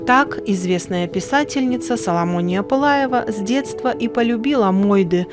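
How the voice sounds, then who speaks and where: A woman's voice: fairly high pitch, normal speed. Woman narrating a documentary over music. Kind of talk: scripted narration.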